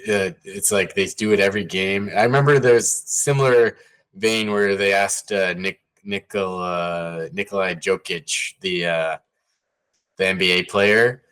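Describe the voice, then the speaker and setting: A man co-hosting a casual sports podcast, talking loosely with many fillers and getting animated when amused. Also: sits low, unhurried, clear, resonant tone. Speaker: podcast co-host.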